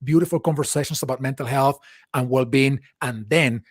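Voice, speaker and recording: An earnest man hosting a live interview chat, talking in long reflective sentences and often restarting his phrasing. His voice sits at an ordinary middle pitch. The sound is dry and close, with no room around it. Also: a little fast, no echo. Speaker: host.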